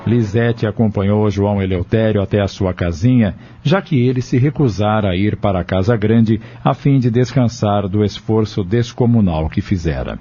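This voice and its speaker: A man narrating a scripted audio drama in a measured, dramatic storytelling manner. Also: lowish voice, warm tone. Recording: dull lo-fi audio with music underneath.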